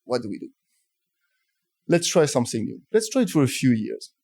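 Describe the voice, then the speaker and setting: A man in his forties with a French accent speaks in a relaxed, mellow voice. He tells his story thoughtfully, pausing and restarting his sentences. The sound is dry and close, with no room echo. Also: mid-range voice, slightly rough. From France. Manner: thoughtful, halting, many restarts. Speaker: guest, telecom executive. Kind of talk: conversational interview storytelling.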